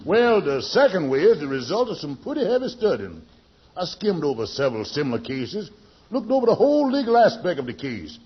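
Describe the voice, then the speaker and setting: A man's voice: a little high, sing-song, brisk, breathy edge. A man playing a shady, self-important cut-rate lawyer in a 1940s radio sitcom, pompously drawing out his explanations. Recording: muffled vintage radio recording.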